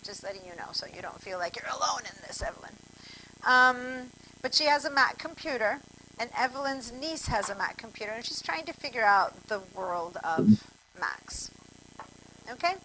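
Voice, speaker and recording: A woman's voice: fairly high pitch, lively intonation, normal speed, pushed and bright, a little hiss or hum, poor audio. A woman from Argentina teaching a computer class over a video call, chatty and digressive, speaking straight to her students. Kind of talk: online class instruction.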